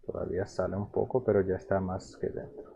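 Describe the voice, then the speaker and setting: A man from Paraguay with a Paraguayan Spanish accent and a Guarani mother tongue, teaching phrases in a relaxed, halting way with many repeats and restarts. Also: deep voice, vocal fry, slight background noise. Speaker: language teacher. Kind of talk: explaining vocabulary in a video lesson.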